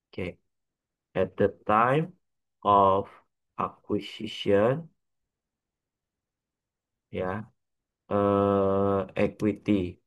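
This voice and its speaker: Low-voiced Indonesian man lecturing haltingly. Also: fairly bright tone. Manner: halting, repeats points, frequent checking tags. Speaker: accounting lecturer. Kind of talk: lecture, working through an example step by step.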